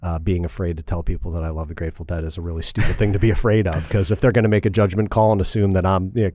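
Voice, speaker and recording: A man's voice: sits low, steady tone, racing, mellow, dry recording, studio quality. A middle-aged man from Indiana, talking casually as a podcast guest, rambling and repeating and correcting himself. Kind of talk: casual interview.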